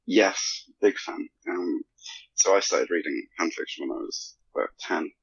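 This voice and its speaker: A man in a casual podcast discussion, speaking reflectively and haltingly, with a self-deprecating streak. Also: low-pitched, breathy edge, dry recording, dull audio. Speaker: podcast guest, fan fiction author.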